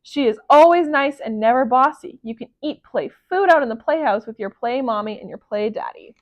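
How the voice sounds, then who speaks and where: A woman's voice: fairly high pitch, lively intonation, moderate pace, no room sound, close to an everyday voice. A woman voicing a kind but firm, teasing farm mother in a storybook, speaking matter-of-factly. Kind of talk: storybook character voice.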